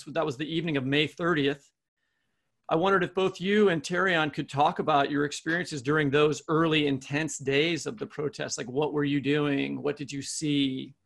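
A man co-hosting a podcast, asking questions in an informal, inquisitive and somewhat halting conversational way. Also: fairly high pitch, creaky, fairly bright tone. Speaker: podcast co-host, interviewer. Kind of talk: conversational interviewing.

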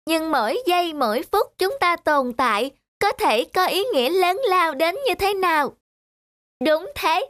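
A woman's voice: very high voice, fairly bright tone, dry recording. A woman voicing a brave, determined little toy balloon in a cartoon, earnest and rallying. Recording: music behind the voice.

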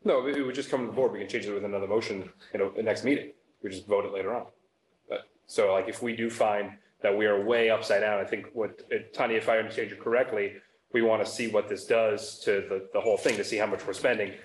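A man on a town board arguing a point and making a motion at a meeting, brisk and persuasive. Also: lowish voice, fairly even intonation, reverberant, slight background noise, rough recording. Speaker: board member.

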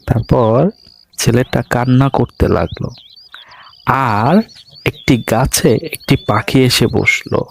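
A man's voice: medium pitch, takes it slowly, vocal fry, a little hiss or hum. A man narrating a scripted cartoon fairy tale as a storyteller.

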